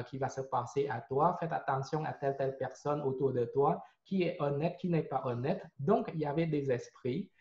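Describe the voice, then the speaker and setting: A man's voice: medium pitch, dark tone. A man in his forties from Cambodia, a Khmer speaker, telling his faith testimony hesitantly with many fillers and repeated words. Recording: roomy, dull lo-fi sound.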